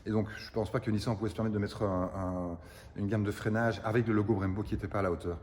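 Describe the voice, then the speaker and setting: Older guy, kinda low, talks fast, lots of euh and repeats, echoey workshop. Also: fairly even intonation, a little mellow. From Italian roots. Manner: hesitant, repeats words, frequent fillers. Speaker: car restorer. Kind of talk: casual interview, explaining a car.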